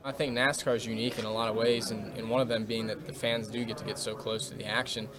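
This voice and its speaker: An American man talks quickly and with little variation in pitch, giving a casual interview answer. A crowd is clearly heard behind him. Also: level tone. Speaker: interviewee at a racing media day.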